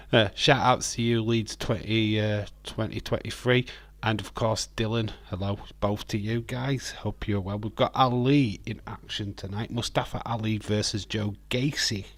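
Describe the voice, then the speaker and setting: Slightly croaky man chatting casually on stream. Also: fairly low pitch, steady tone, measured pace, no echo. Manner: rambling, friendly, with frequent hesitant fillers. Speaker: live stream host. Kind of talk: casual live watch-along chat, reading viewer comments.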